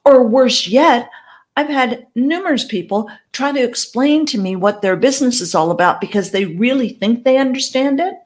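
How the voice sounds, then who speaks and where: A woman's voice: on the high side, animated, moderate pace. A woman with English as her first language, giving direct, conversational advice as a network marketing coach. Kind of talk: advice talk to camera.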